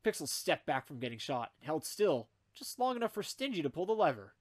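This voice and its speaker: A man narrating fan fiction in an amateur comedic group reading, animated and dropping wry asides. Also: a little high, a little fast.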